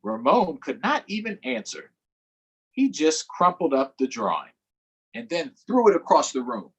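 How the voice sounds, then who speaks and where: A man with a slightly rough, husky voice hosts a children's storytime. His pitch moves up and down freely as he reads aloud and speaks straight to his young audience. The delivery is expressive and conversational. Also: measured pace. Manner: addresses young viewers directly. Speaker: storytime host, councilmember. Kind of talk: children's read-aloud and live chat.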